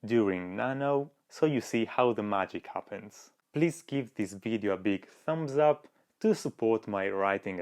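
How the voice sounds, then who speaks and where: A man's voice: mid-range voice, a little mellow. A man with an Italian accent, a non-native English speaker, narrating his own writing vlog in an earnest, chatty way. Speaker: writing vlogger. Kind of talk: scripted vlog voice-over.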